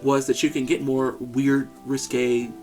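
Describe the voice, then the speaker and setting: A man's voice: mid-range voice, little pitch movement, unhurried, a little mellow, soft background music. A man co-hosting a casual video game podcast, talking with enthusiasm and frequent laughs and jokey asides. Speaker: podcast co-host. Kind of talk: casual chat about video games.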